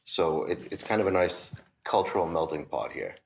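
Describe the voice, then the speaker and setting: Deep-voiced man with a Boston accent. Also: animated, moderate pace, gravelly, slight background noise. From Massachusetts, USA. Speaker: record store owner, guest. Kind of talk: casual interview chat.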